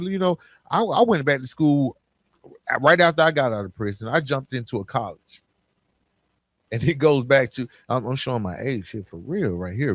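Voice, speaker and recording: Male, middle-aged American podcast host. Mid-range pitch, dry close sound, colloquial and emphatic motivational delivery. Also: no room sound. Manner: emphatic, colloquial, direct address with rhetorical questions and repetition. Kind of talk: motivational solo podcast talk.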